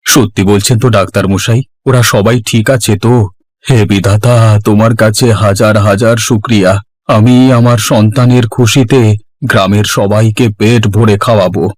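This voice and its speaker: A man's voice: fairly low pitch, fairly even intonation, normal speed, no echo, close to an everyday voice. A man voicing a haughty, anxious rich village husband in a cartoon story, fussy and exclamatory. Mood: relieved, grateful. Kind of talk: Bengali cartoon story voice acting.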